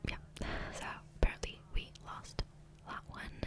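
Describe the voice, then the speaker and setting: A woman whispering softly and breathily into a close microphone in a rambling, hesitant ASMR gameplay commentary, often trailing off. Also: unhurried. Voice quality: soft, breathy unvoiced whisper. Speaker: ASMR gaming creator. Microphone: close USB condenser microphone.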